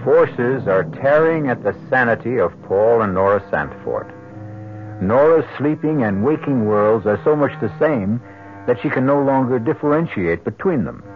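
A man playing the grave, portentous narrator of an old-time radio mystery, speaking dramatically and deliberately. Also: medium pitch, sing-song. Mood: grave, ominous. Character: grave, ominous narrator of a suspense mystery tale. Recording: tinny vintage radio, music behind.

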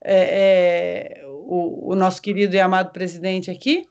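A woman's voice: lowish voice, little pitch movement, unhurried, a little mellow, highs cut off. A middle-aged Brazilian woman hosting a casual interview over a video call, chatty and conversational. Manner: chatty, conversational, asks questions. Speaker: host.

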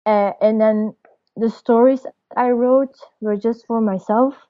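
A woman's voice: on the high side, fairly even intonation, slow pace, mellow. A young woman in her twenties from Belgium, answering interview questions. She speaks hesitantly and searches for her words. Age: 20s. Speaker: storyteller guest. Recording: dull lo-fi audio.

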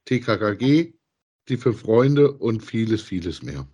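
A middle-aged man with a fairly low voice. He chats casually as a podcast co-host reviewing things, often halting and searching for the next phrase. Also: very animated, warm tone, breathy edge, dull audio. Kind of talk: casual review chat about audio dramas.

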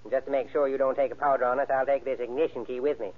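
An actor playing a tough, bossy gangster with curt, slangy delivery in a 1930s radio crime drama. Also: male voice, medium pitch, fairly even intonation, rapid-fire, close to an everyday voice. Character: tough, bossy male gangster leading a bank job. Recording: tinny, noisy old radio recording.

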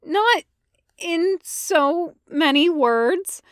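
A woman's voice: very high-pitched, expressive, extremely slow, no echo, studio quality, pitched above the performer's own voice, exaggerated voice. A woman voicing an exasperated, impulsive young man in an audio story, whiny and emphatic. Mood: exasperated, insistent.

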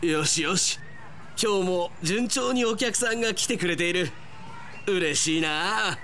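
An actor playing an earnest, excitable middle-aged park manager who talks to himself aloud in a Japanese radio drama. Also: male voice, high-pitched, a little slow, some chatter behind, a little hiss or hum. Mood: delighted, determined. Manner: expressive, talking to himself aloud.

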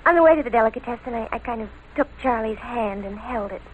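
Woman doing a lovestruck american teen girl, high voice, breathless n naive, gushy 40s radio drama, bit of hiss. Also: narrow, tinny audio, close to an everyday voice. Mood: dreamy, gushing. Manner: breathless, slangy, halting confession. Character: lovestruck, defiant teenage girl, gushing and naive. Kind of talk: 1940s American radio crime drama acting. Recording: noisy vintage radio recording.

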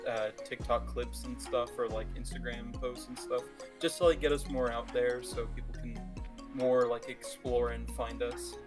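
A man's voice: mid-range voice, level tone, normal speed, over music. A man co-hosting a podcast in casual, joking banter with a playfully teasing manner. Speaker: podcast co-host.

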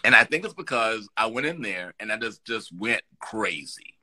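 Bright-voiced man telling stories. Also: lowish voice, normal speed. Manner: animated, lively storyteller with restarts. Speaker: guest actor. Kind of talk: storytelling in an interview.

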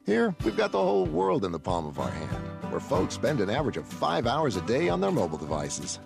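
A man's voice: mid-range voice, gravelly. A man reading an ad in a wry, mock-promotional tone. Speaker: ad announcer. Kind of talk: scripted ad narration. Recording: music bed under voice.

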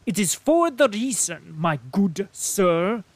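Man putting on pompous German colonel, loud and emphatic, high strained delivery, dry sound. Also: big pitch swings, takes it slowly, dry recording, full character voice. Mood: blustering. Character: stout patriotic German colonel, blustering.

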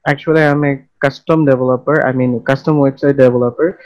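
Young Bangladeshi man, flat even pitch. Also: brisk, highs cut off. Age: young adult. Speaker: interview guest, freelance web developer. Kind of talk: casual interview answers.

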